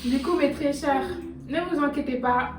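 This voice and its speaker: A woman's voice: high-pitched, steady tone, moderate pace, recorded from a distance, noisy background. A woman whose first language is Bambara, chatting casually and encouraging viewers, with many hesitant fillers. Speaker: guest content creator. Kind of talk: casual chat. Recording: echoey room, off-mic, music behind.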